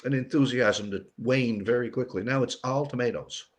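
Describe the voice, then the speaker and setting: A man's voice: mid-range voice, moderate pace. An older man, an author talking plainly in short, emphatic phrases in an interview. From Newfoundland, Canada. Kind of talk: conversational interview.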